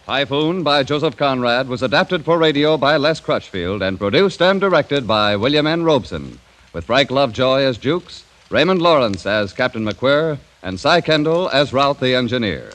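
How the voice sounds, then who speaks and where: A man's voice: medium pitch, expressive, moderate pace, a bit bright. A man announcing a drama series in a formal, dramatic 1940s radio style. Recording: vintage radio broadcast with music.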